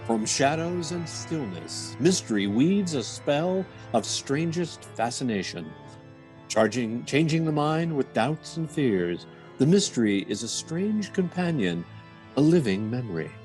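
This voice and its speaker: An actor playing a solemn, ominous announcer of a radio mystery series, speaking in a portentous, deliberate way. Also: male voice, medium pitch, sing-song, a little mellow, soft background music. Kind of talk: old-time radio scripted narration.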